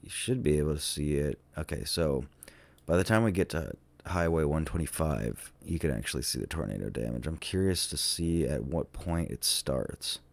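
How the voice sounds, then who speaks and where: A sick man with a cold thinks aloud in a casual, hesitant, musing way, with many ums and trailing pauses, as he narrates a vlog. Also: rumbling low, expressive, vocal fry, no echo. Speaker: vlogger touring tornado damage on a map.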